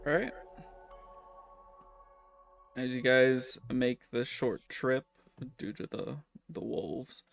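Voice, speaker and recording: A man runs a tabletop game in a casual, conversational way. His medium-pitched voice has a lot of creak and fry. Faint music plays behind him. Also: fairly even intonation, slow, pauses often. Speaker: game master.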